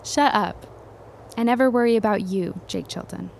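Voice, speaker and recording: A woman's voice: mid-range voice, normal speed, creaky, darkish tone, slight background noise, close to an everyday voice. A woman playing a wry, strong-willed young woman in a period audio drama. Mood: teasing.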